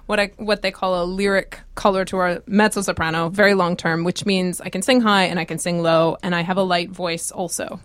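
A woman's voice: fairly low pitch, fairly even intonation, brisk, hardly any pauses, a bit bright, no room sound. A woman mezzo-soprano guest explaining voice types in a friendly way in a studio interview. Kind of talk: casual interview chat. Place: radio studio.

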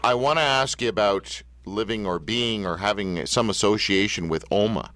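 Bright, eager male radio host. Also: on the low side, moderate pace, no echo. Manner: eager, enthusiastic questioning. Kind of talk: casual radio interview.

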